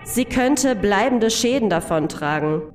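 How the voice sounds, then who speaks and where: A woman's voice: medium pitch, lively intonation, a little slow, soft background music, natural voice. A woman playing a fierce, hot-tempered undercover agent in a German audio drama. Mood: tense, reporting.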